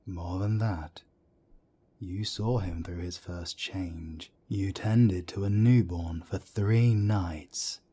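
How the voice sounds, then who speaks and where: A man playing a calm, firm older werewolf councillor and mentor in an audio drama, with a soft, breathy rasp. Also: low voice, exaggerated voice. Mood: earnest, insistent. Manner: measured, firm, reassuring. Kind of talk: scripted roleplay audio drama.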